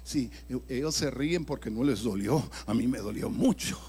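An older man, an evangelist, telling his own story in a sermon, swinging from loud emphatic bursts to hushed near-whispers. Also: medium pitch, moderate pace, husky. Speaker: evangelist preacher. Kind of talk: sermon testimony.

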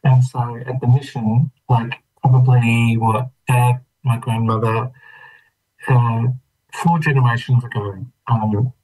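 An Australian man in his fifties from Melbourne's western suburbs, telling his own story in a reflective, thoughtful, halting way as an interview guest. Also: medium pitch, little pitch movement, a little mellow, breathy edge, rough recording. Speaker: interview guest, trained dancer. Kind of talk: reflective personal storytelling in conversation.

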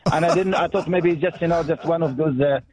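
A man's voice: on the high side, fairly quick, a little mellow. A man from Morocco with a Moroccan accent, chatting casually as a radio phone-in guest, often repeating himself as he searches for words, over a phone. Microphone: phone call. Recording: dull, lo-fi, echoey phone line.

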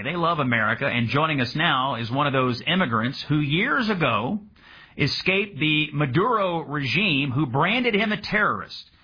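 Husky-voiced man hosting talk radio. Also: a little high. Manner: upbeat, patriotic introduction with halting asides. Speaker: radio talk show host. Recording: dull lo-fi radio sound.

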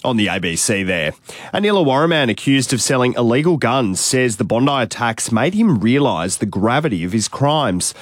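Husky radio newsreader. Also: male voice, mid-range voice, dry recording, clean studio sound. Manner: measured, even delivery. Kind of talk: radio news bulletin.

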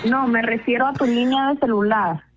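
A woman on a phone call, calm and composed, asking patient, businesslike questions. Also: fairly high pitch, normal speed, crowd noise, noisy background. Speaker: prank call target. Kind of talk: phone conversation on radio. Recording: dull dry radio phone audio.

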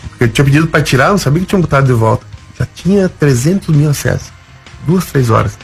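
A man's voice: a little high, fast, creaky, faint music behind. A man from southern Brazil with a Gaúcho accent, telling anecdotes casually as an interview guest and acting out quoted lines. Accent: Gaúcho Brazilian Portuguese. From southern Brazil (Rio Grande do Sul). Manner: animated anecdotes, acts out quoted dialogue.

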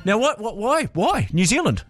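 A man's voice: sits high, very animated, normal speed, soft background music. A man co-hosting a morning radio show in a studio, teasing and jokey. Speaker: radio co-host. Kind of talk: breakfast radio banter.

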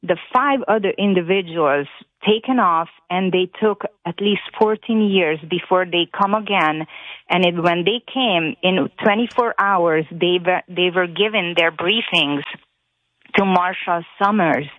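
A woman's voice: fairly low pitch, a little slow, no room sound. A woman with a Hungarian accent and non-native grammar, calling a radio show over the phone to give an earnest, emphatic personal account. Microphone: telephone. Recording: tinny narrow phone line.

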